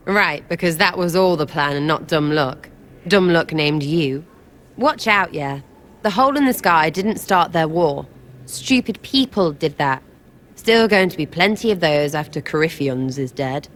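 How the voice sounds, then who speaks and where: A woman's voice: low voice, expressive, measured pace, a bit bright. A woman with an English accent voicing an irreverent, slangy young elf rogue in a video game. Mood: scornful. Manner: brash, slangy, mocking. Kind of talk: video game character acting. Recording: noisy game audio from a stream.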